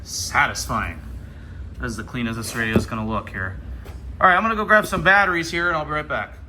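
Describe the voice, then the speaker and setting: American male vlogger, forceful bright tone, informal and enthusiastic, casual unboxing narration, noticeable background noise. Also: medium pitch, quick pace. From Ohio, United States. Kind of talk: casual hands-on product unboxing commentary. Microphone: handheld camera.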